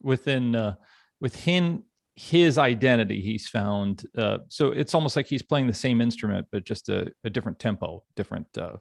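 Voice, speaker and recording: A man hosting a casual panel conversation, speaking in a halting, thoughtful way. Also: mid-range voice, expressive, vocal fry, no room sound, clean studio sound. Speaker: podcast host.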